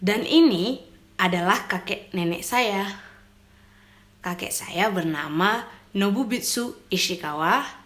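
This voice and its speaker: A young woman from Indonesia reading a calm, measured documentary voice-over. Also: mid-range voice. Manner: calm, measured, plain delivery. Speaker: documentary narrator. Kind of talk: scripted voice-over narration. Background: music.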